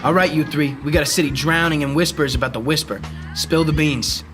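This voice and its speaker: A man's voice: fairly high pitch, fairly even intonation, a little fast, over music, lightly acted. A young man playing a tough, dry private detective with clipped delivery in a noir radio drama. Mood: threatening. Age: young adult. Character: hard-boiled male private detective, tough, dry, determined. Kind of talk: 1940s radio noir acting.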